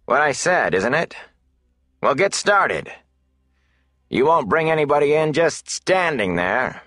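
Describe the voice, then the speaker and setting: A man voicing a surly, stingy, menacing innkeeper in an audiobook, grudging and curt with rough folksy phrasing. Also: on the high side, big pitch swings, slow pace, sharp tone, dry recording, studio quality, pitched above the performer's own voice, exaggerated voice. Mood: gruff, impatient.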